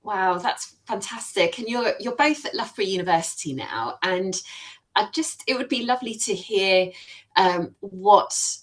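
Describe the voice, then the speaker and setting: A woman's voice: medium pitch, expressive, a little slow. A woman interviewing two students about their faith, encouraging and affirming, with frequent fillers. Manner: warm encouraging, many fillers. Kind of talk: church testimony interview.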